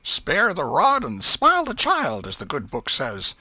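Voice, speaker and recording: Man voicing a high-pitched, fretful old Southern aunt. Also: huge pitch swings, unhurried, sharp tone, no echo, full character voice. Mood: fretful, pitying. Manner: folksy, sighing, exclamatory. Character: elderly Southern aunt, fretful, pious, soft-hearted scold. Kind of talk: audiobook character voice.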